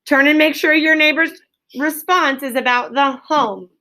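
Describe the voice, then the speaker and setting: A woman with a high voice works as an elementary school teacher leading a lesson. She puts questions to her pupils in a directive way. She repeats their answers back to confirm them. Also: normal speed, bright, forceful tone. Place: classroom with pupils.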